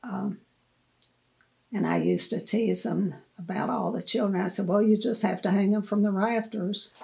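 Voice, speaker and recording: An older woman in a documentary interview, reflecting slowly and gently on family memories. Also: mid-range voice, fairly even intonation, gravelly, rough recording. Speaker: interviewee, mother-in-law. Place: indoors in leather armchair.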